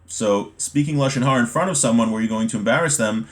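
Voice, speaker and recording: A man giving an informal religious lesson, reading from a text and explaining it, halting at times and repeating words for emphasis. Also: medium pitch. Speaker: religious teacher giving a class.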